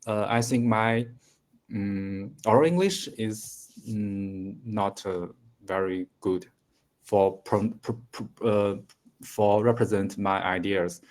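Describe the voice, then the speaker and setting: A man in his thirties whose native language is Mandarin, speaking English slowly in a conversation lesson with many fillers and restarts. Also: fairly low pitch, a little mellow. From China. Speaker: engineering student.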